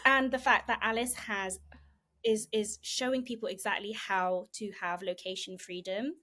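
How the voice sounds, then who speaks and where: A woman's voice: mid-range voice, steady tone, normal speed, pushed and bright. A woman co-hosting a podcast, opening the show and chatting with a guest in a bubbly, giggly way. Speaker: podcast co-host. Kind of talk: casual podcast chat.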